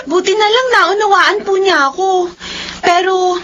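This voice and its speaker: A woman's voice: extremely high pitch, slow pace, pushed and bright, full character voice. A woman playing an earnest, polite young granddaughter with a Filipino accent in a radio drama. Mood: tearful, apologetic. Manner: polite, explanatory. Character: dutiful, earnest young granddaughter, fast-food crew worker. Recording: slightly dull radio drama recording.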